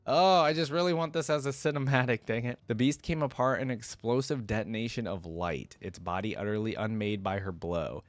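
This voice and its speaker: A man's voice: medium pitch, fairly quick, few pauses, dry recording. A man hosting a reaction video, reading a fantasy story aloud and adding casual fan commentary.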